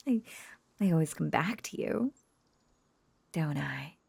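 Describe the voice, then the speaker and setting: A woman playing an obsessive, lovesick young witch who coos over a captive beloved, clingy and breathy with frequent whispers, in an intimate roleplay audio drama. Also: low-pitched, lively intonation, slow pace, a little mellow, no echo, exaggerated voice. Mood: soothing, clingy. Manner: cooing, clingy, breathy, often dropping into whispers.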